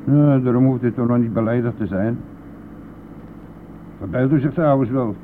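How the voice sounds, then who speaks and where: An actor playing a cheeky, nosy, grumbling village bus driver in a radio play, with a teasing, blustering manner. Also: male voice, medium pitch, moderate pace, lightly acted. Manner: teasing, blustering, chuckling at own jokes. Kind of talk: Dutch radio play acting. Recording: tinny narrow-band old radio recording, vehicle noise.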